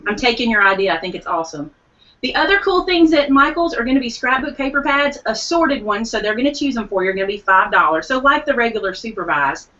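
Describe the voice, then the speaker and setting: Eager woman host, bright, slightly high, losing her voice, lo-fi stream. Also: animated, fast. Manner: enthusiastic deal announcer. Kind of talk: live online craft show chat.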